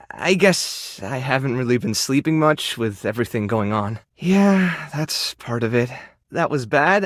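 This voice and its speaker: Kind, modest animal rescue keeper, lively pitch. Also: male voice, no room sound, studio quality. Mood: weary, resigned. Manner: soft-hearted, self-effacing, hesitant with small sighs and chuckles. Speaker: voice actor in a dating game. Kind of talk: video game character dialogue, natural and conversational.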